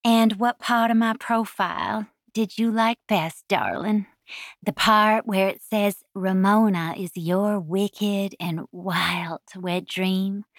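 A seductive Southern woman of the phone lines, acted out by a female audiobook narrator, purrs in a throaty voice. She drawls slowly and flirtatiously, close and dry. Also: mid-range voice, fairly even intonation, dry recording. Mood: seductive, purring. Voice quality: throaty, purring.

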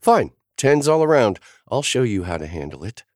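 A man voicing a sharp-tongued, competitive grown woman who talks in a snippy, wisecracking way. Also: lowish voice, lively intonation, normal speed, no room sound, clean studio sound, voice pushed up, close to an everyday voice. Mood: snapping.

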